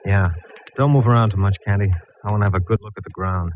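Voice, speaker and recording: A man's voice: on the low side, steady tone, quick pace, lightly acted. Guy acting homicide cop, dry and easygoing, 1940s radio drama, dull hissy sound. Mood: businesslike. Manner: dryly joking, familiar. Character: easygoing, dry male homicide police inspector. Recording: muffled, faintly hissy old radio recording.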